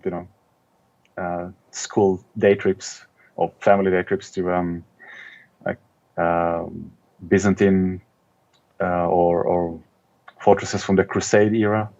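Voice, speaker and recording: A middle-aged man from Israel, an author being interviewed, speaking in a thoughtful, casual way with many pauses and ums. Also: low-pitched, fairly even intonation, a little mellow, muffled recording.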